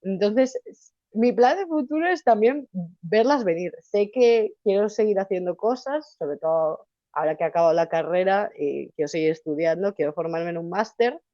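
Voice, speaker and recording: A woman's voice: mid-range voice, moderate pace, no echo, muffled recording. A young woman from Spain with a Valencian Spanish accent, chatting animatedly as an interview guest. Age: young adult. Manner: animated, chatty, restarts often. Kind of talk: casual interview conversation.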